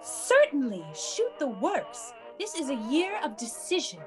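A woman's voice: high-pitched, big pitch swings, a little slow. An actress playing a wry, meddling former house mother in a classic radio play, arch, knowing and theatrical. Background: music behind the voice.

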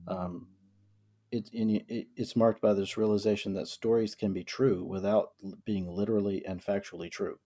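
A man from the American South with a low, mellow voice talks through a book in a casual podcast chat. He reads passages aloud, then reflects on them slowly, with plenty of "um"s and restarts. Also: fairly even intonation. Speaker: podcast co-host.

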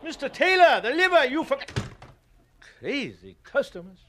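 An actor playing a talkative, cajoling, good-natured but grumbling butcher in an old-time radio drama. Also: male voice, very high-pitched, very animated, moderate pace, a bit bright, slight background noise, heavily acted.

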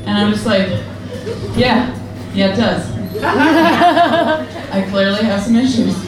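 A young woman performing a crude, self-deprecating stand-up set in a deadpan manner. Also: on the high side, slow, over a noisy crowd. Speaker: stand-up comedian. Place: comedy club or bar stage. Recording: echoey room, distant, noisy.

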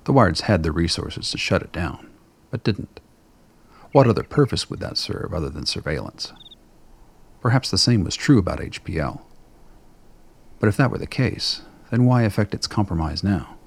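A man with a gravelly, husky voice narrating a scripted dramatic story. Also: low-pitched, animated, moderate pace, pauses often.

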